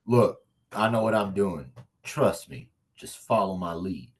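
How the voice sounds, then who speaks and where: A man acting a husky, brash vengeful lone agent. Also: low voice, lively intonation, a little slow, exaggerated voice. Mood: loose, defensive. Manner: slangy, profane, stubborn, cocky. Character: brash, vengeful, hard-drinking lone agent, male, streetwise. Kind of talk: virtual table read of a comedy screenplay.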